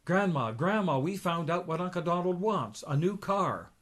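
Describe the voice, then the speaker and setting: Man putting on an eager, high-pitched duckling boy. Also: expressive, moderate pace, fairly bright tone. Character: eager young boy duck nephews. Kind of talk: comic book read aloud.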